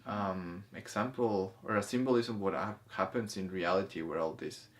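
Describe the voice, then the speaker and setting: A man co-hosting a casual review conversation, speaking haltingly and rephrasing as he goes. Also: low-pitched. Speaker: co-host of a movie review. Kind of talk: casual conversational discussion of film and spirituality.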